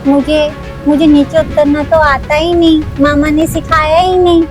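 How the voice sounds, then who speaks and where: A woman's voice: sits high, flat delivery, measured pace, soft background music. A woman voicing a boastful but easily scared little boy in a children's toy cartoon. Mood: frightened.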